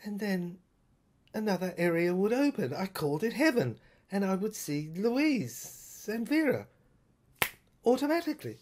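A woman narrating a dramatic diary monologue in a halting, repetitive, theatrical way. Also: mid-range voice, very animated, darkish tone. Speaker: narrator of an audio screenplay. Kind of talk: dramatic first-person monologue, diary reminiscence.

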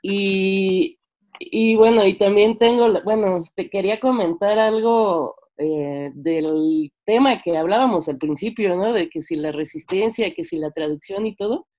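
A woman's voice: medium pitch, unhurried, darkish tone. A young woman with a Mexican accent speaks informally and hesitantly on a video call, often checking with a tag question. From Mexico, French-Mexican. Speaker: seminar participant, filmmaker. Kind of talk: informal sharing in an online discussion. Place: bedroom, indoors on a video call. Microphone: computer microphone on video call.

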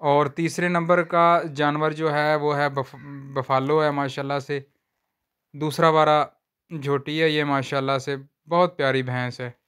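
A man walks viewers through cattle for sale on a farm, talking in plain, unhurried sales patter and filming on a handheld phone outdoors. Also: fairly high pitch, little pitch movement, fairly bright tone, smooth. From Pakistan. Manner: repeats a stock religious phrase often. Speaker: livestock seller vlogger. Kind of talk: casual sales walkthrough.

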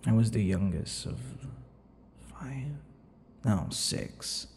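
A man voicing a possessive, centuries-old vampire in an intimate ASMR boyfriend roleplay, teasing and breathy, often dropping toward whispers. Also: lowish voice, animated, slow, darkish tone, slight background noise, heavily acted. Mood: reminiscing. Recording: long reverberant echo.

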